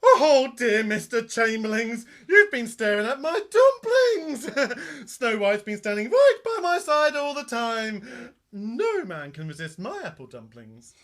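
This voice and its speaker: A woman's voice: fairly high pitch, very animated, a little slow, few pauses, fairly bright tone. A woman playing a flustered, saucy, doting pantomime nanny with a gushing, theatrical manner. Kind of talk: amateur pantomime acting.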